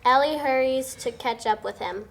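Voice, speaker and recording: A woman's voice: high voice, moderate pace. A young woman reading stage directions as narrator at a script table read. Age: young adult. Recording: faint background noise.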